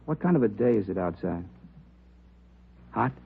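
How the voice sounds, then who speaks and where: A man's voice: fairly low pitch, expressive, normal speed, vocal fry. Resigned man in noisy old radio drama. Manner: clipped, fragmentary phrases. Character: resigned, subdued male acquaintance of a fugitive. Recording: muffled narrow-band vintage radio recording with noise.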